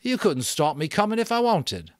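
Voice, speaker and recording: Male reader as challenging storybook schoolboy, curt and curious, pitched up, dry recording. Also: big pitch swings, moderate pace, no echo. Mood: defiant. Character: Roger, defiant, curious schoolboy.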